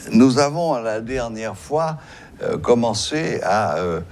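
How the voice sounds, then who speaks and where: A man hosting a TV talk show, speaking hesitantly with many pauses. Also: medium pitch, big pitch swings, darkish tone. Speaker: host.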